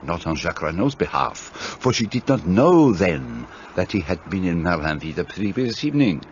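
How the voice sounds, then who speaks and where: A man's voice: low-pitched, very animated, normal speed, highs cut off, slight background noise. An actor playing a shrewd, fussy Belgian detective in a radio play, speaking precisely and courteously as he lays out his reasoning. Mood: explaining.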